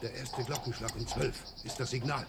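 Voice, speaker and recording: A man's voice: mid-range voice, steady tone, moderate pace, creaky, heavy background noise, lightly acted. A man playing a terse, authoritative rebel leader giving night orders in a German radio play. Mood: stern, commanding.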